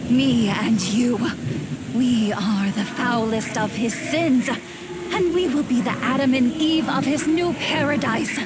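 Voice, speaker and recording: A high-voiced possessed woman from a dark video game. She speaks slowly, seductive and devoted, pleading with theatrical menace under loud ambient noise. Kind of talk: dramatic video game voice acting.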